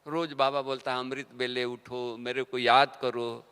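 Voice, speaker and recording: A man giving a devotional sermon, telling stories and asking rhetorical questions, his voice swinging between soft and loud. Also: mid-range voice, flat delivery, measured pace, clear, resonant tone. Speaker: spiritual teacher. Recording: long hall reverb.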